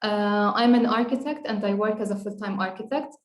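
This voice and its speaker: A woman's voice: medium pitch, a little fast, roomy sound. A young woman from Syria, an architect, presenting her winning design on a video call, hesitant with many fillers. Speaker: architect and past prize winner presenting her work. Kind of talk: presenting slides in a webinar.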